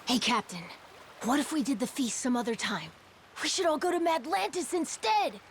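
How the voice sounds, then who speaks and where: A woman voicing an eager, excitable young boy in a video game dub, often pleading. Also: high voice, normal speed, a little hiss or hum.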